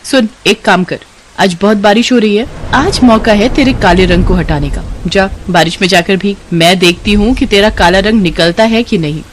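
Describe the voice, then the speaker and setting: A woman's voice: mid-range voice, moderate pace, slight background noise, natural voice, rough recording. A woman voicing an arrogant rich young woman in a story, haughty and bossy. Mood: mocking, bossy.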